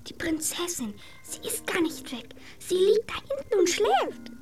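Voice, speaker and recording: A woman's voice: very high voice, big pitch swings, unhurried, darkish tone, whispered, faint music behind, heavily acted. A woman acting a young girl in a German radio drama, a bossy, protective big sister. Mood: hushed, excited.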